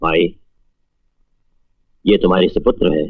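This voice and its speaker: A man's voice: sits low, fairly even intonation, gravelly, exaggerated voice. A man playing a sinister, imperious snake-charmer guru, pronouncing his lines pompously in a melodramatic film spoof. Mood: solemn, commanding. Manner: pompous, ominous, drawn-out pronouncements. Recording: dull lo-fi sound with music underneath.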